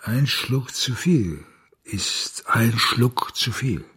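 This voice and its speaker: A desperate father in a radio crime drama. His voice is very rough and husky, and his delivery swings widely in pitch, brooding and intense as he hunts for his missing child. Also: lively intonation, very slow, fairly bright tone, full character voice. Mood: bitter, weary. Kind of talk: German radio drama acting.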